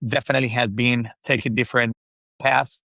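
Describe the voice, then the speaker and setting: A man's voice: mid-range voice, fairly even intonation, brisk, gravelly, sharp tone, no room sound. A middle-aged man from Venezuela, a native Spanish speaker with a Venezuelan accent, telling stories conversationally as an interview guest. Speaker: interview guest, spirits industry executive.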